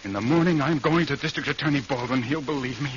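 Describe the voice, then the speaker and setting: A man's voice: mid-range voice, fast, lightly acted. An actor playing a frightened, earnest man pleading in a stammering, urgent way, in a 1940s radio thriller. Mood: anxious, pleading. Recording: muffled, hissy, noisy old radio.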